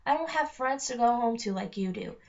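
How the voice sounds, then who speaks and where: A woman's voice: on the high side, animated, brisk. A woman voicing an eager, dreamy and stubborn young girl with an earnest, insistent way of talking, in a storybook reading. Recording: dull lo-fi home recording.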